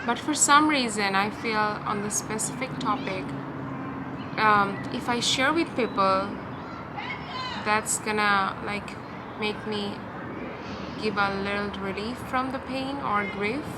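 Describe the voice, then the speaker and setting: A young woman with a Bangladeshi accent talking in a hesitant, halting, confiding way in a personal vlog recorded outdoors. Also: mid-range voice. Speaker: vlogger. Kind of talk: personal talk-through to camera. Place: outdoors in a park. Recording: outdoor park noise, distant traffic. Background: ambient park and vehicle noise.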